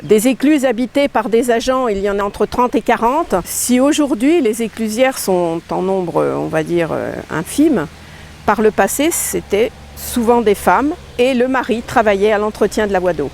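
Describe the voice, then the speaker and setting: A woman's voice: medium pitch, lively intonation, normal speed, gravelly, a little mellow, slight background noise. An older woman, a lock keeper, speaking in a heartfelt, reflective way in an interview. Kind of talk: interview testimony.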